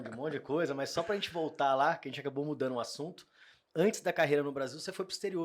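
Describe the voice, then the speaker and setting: A man in his forties hosting a casual interview, friendly and teasing as he guides the talk back to his guest. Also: a little high. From São Paulo state, Brazil. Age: 40s. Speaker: podcast host.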